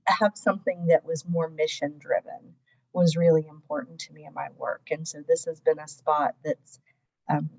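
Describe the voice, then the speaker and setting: A woman with a low voice reflects on her work in a thoughtful, halting way, often restarting her words. The sound is dull and muffled, like lo-fi video call audio. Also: a little mellow. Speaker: webinar panelist. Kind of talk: professional reflection on a panel.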